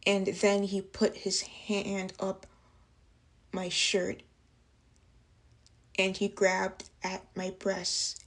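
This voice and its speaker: A woman playing a traumatized young waitress in a dramatic monologue, speaking haltingly in broken phrases. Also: mid-range voice, completely flat delivery, a bit bright, full character voice. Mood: uneasy, halting. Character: traumatized young working-class waitress recounting an assault. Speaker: actress performing a film monologue.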